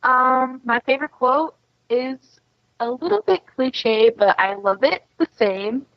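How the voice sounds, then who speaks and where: Higher-voiced young American woman, muffled dry audio. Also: unhurried, no room sound. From USA. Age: young adult. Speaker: interview guest, exchange student. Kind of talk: casual chat. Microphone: voice call. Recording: dull lo-fi internet call audio.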